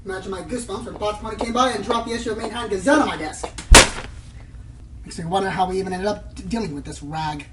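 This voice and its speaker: A woman's voice: medium pitch, heavy background noise, lightly acted. A woman voicing a pompous, condescending veteran pony news reporter in an amateur radio play, heard on a roomy, distant mic. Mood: cocky, condescending. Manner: pompous, fast-talking, dismissive. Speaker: voice actor in an audio drama. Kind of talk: fan radio play acting.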